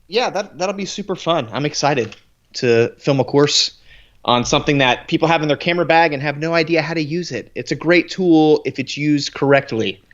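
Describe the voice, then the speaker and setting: A man's voice: on the high side, normal speed. Playful joking male co-host, casual delivery, slightly muffled lo-fi sound. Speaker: podcast co-host, photography instructor. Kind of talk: casual live-stream chat. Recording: slightly dull lo-fi audio.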